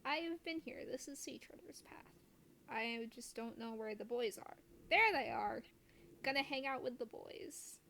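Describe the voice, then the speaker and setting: Playful high-voiced woman streaming, some background noise. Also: a little slow, vocal fry, bright, forceful tone. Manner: playful, jokey. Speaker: game streamer. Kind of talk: casual live gameplay commentary with friends.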